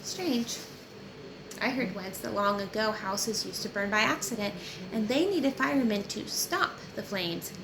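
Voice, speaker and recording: A chatty, curious teenage girl from a novel, voiced by a woman reading aloud. She is earnest and guileless, asking and musing freely. The sound is echoey with clear noise behind it. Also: medium pitch, expressive, a little slow. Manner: inquisitive, earnest. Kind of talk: audiobook character reading. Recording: roomy echo with clear background noise.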